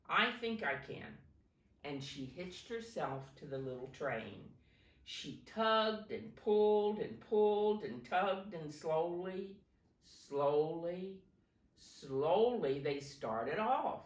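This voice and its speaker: A woman reading a storybook aloud expressively to young children, with simple explanatory asides. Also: lowish voice. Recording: roomy, recorded from a distance.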